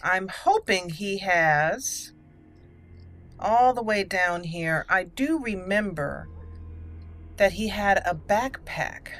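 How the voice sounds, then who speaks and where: A woman hosting a roleplay game playthrough, deliberately narrating her actions aloud. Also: medium pitch, lively intonation, a bit bright. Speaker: gaming channel host. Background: faint game music.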